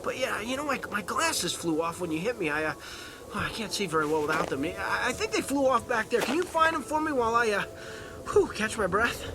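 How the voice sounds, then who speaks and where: A man's voice: high-pitched, sing-song, fairly quick, noisy background. An actor playing an easygoing, whimsical, joking man with a breezy, playful delivery. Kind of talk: acted audio drama dialogue.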